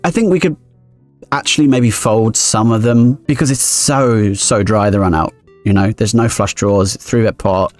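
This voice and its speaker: A man streaming his poker games live, thinking aloud quickly and analytically as he explains each decision. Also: lowish voice, a little mellow, soft background music.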